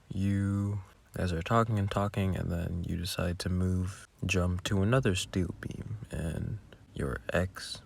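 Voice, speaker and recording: A man's voice: low-pitched, gravelly, breathy edge. A man who makes audio roleplays, giving casual, rambling behind-the-scenes commentary with muttered asides.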